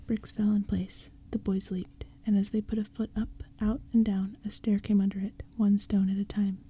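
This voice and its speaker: Breathy whispering woman narrator. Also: mid-range voice, little pitch movement, moderate pace. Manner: soft, hushed, ASMR-like.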